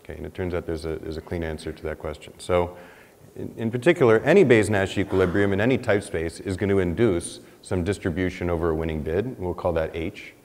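A man in his thirties presents research at a podium, his fairly low voice ringing with the long reverb of a big lecture hall. He thinks aloud, restarting phrases and rising in pitch when he stresses a point. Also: brisk, darkish tone. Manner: thinking aloud, many restarts and "you know"s, rising for emphasis. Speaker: economics researcher presenting a paper. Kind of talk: conference lecture with slides.

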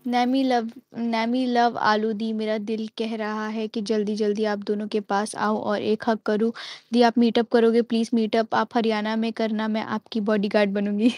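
A woman's voice: on the high side, little pitch movement, normal speed, darkish tone, no echo. Giggly young woman on a dry phone mic. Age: young adult. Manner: giggly, playful, switches into English. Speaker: live stream co-host. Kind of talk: casual live chat reading comments. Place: indoors at home, small room. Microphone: wired earphone mic on a phone.

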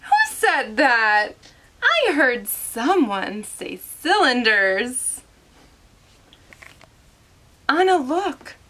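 A woman's voice: high-pitched, wildly swooping pitch, extremely slow, long pauses, bright, forceful tone. A woman reading aloud to young children as host, instructing and prompting them with questions.

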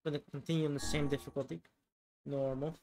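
A man's voice: a little high, flat delivery, quick pace, noisy background. A man giving casual gameplay commentary as a let's player. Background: game music.

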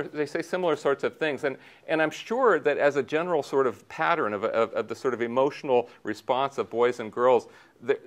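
A man in his sixties giving a conference talk from a lecture room on a lapel mic, telling stories with many restarts and fillers. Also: fairly low pitch. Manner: animated storytelling with many restarts and fillers, gestures while talking. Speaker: sociology researcher on a conference panel. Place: lecture room in front of a projection screen.

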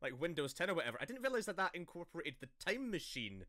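A woman's voice: fairly low pitch, sing-song, fast talker, fairly bright tone, no room sound, clean studio sound. A woman playing an online tabletop game, chatting casually and joking with the group.

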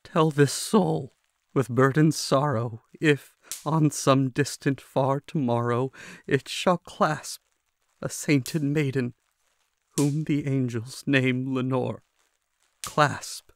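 A man playing a grieving, tormented man who declaims verse theatrically in an audio drama. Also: medium pitch. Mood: desperate pleading. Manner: theatrical, slow, anguished declaiming. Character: grieving, tormented man pleading with and cursing a raven.

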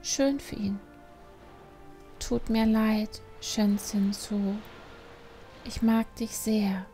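A woman's voice: medium pitch, flat delivery, extremely slow, mellow, soft background music. A woman playing a restless, defiant young panda adventurer in a dramatised fantasy audiobook, emotional and reproachful. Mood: wistful, frustrated.

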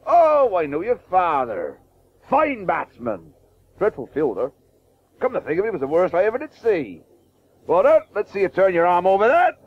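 Blustering middle-aged father, high excitable pitch, clipped phrases, radio drama, dull old broadcast sound. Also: moderate pace, no echo, full character voice. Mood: hearty. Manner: booming, emphatic, clipped phrases. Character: blustering, domineering Victorian cricketer father. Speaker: actor. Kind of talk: 1980s radio drama acting.

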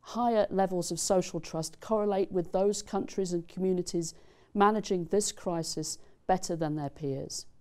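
A middle-aged woman delivering formal commencement remarks as a dean in a studio, speaking in a measured, deliberate way. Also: lowish voice, dark tone. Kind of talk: formal prepared ceremonial speech. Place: studio set with screens, indoors.

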